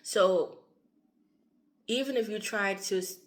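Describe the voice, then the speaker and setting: A woman's voice: medium pitch, slow. A woman giving a loose, rambling tarot reading, her voice a little strained as she starts to lose it. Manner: loose and repetitive, fillers and asides. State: losing her voice. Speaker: tarot reader.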